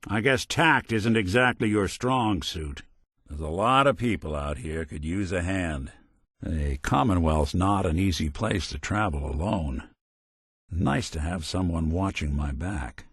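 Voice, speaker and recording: A man's voice: deep voice, a little slow, fairly bright tone, slightly rough, dry recording, studio quality, exaggerated voice. A man voicing a weary, wry android private detective in a video game, world-weary and sardonic in an old-fashioned noir way. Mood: companionable.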